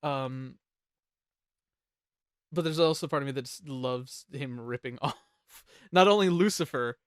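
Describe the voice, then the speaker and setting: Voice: medium pitch, a little slow, no echo, studio quality. A host leading a casual group chat that ranks songs, joking and swearing freely.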